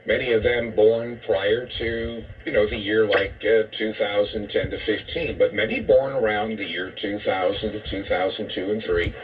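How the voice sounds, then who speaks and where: A man's voice: fairly low pitch, fairly even intonation, normal speed, hardly any pauses, far from the mic. A man delivering an opinionated talk radio monologue over shortwave, emphatic and disapproving, posing rhetorical questions. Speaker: radio host and commentator. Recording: muffled, noisy shortwave radio broadcast.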